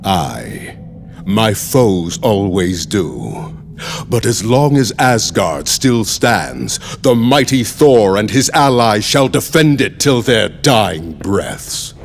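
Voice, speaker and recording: An actor playing a noble, proud thunder god warrior in a video game, speaking in a grand, archaic, declamatory way. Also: male voice, on the low side, very animated, takes it slowly, vocal fry, a little hiss or hum, full character voice. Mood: solemn, resolute. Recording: loud music over the voice.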